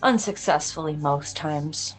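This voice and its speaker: A woman's voice: sits low, unhurried, rough recording. A woman playing a tough, blunt, foul-mouthed cop wounded by her past, in a film script read-through. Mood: pained, earnest. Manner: earnest, blunt, swearing.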